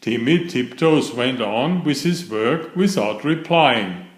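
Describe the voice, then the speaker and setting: Slow, lively male storyteller in a roomy space. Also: mid-range voice. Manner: measured, expressive storytelling. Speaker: storyteller reading a children's book. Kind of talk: read-aloud children's story narration.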